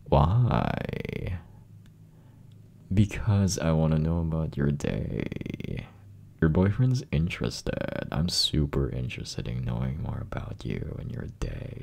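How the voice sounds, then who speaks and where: A man acting as a young, clingy boyfriend in an ASMR roleplay, with an extremely low, gravelly voice. He talks slowly and softly, dorky and teasing, doting on his girlfriend in a dark, mellow tone. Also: heavily acted. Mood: attentive, affectionate. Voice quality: raspy, gravelly. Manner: soft, breathy, teasing, slow and drawn out. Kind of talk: ASMR roleplay, intimate one-sided conversation.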